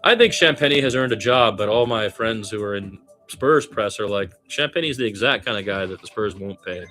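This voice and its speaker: A man with a fairly low voice does amateur radio play-by-play of basketball. He gets animated on the plays and drifts into wry, musing, conversational asides as a fan. Faint music sits behind his voice. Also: a little fast. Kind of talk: live basketball commentary with casual rambling asides.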